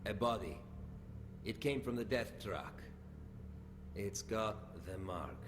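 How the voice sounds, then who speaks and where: Grim, low-voiced wasteland scavenger. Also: male voice, takes it slowly. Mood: terse. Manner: terse, fatalistic. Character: grim, world-weary male scavenger trader in a wasteland. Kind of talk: video game cutscene acting. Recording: game audio with clear background noise.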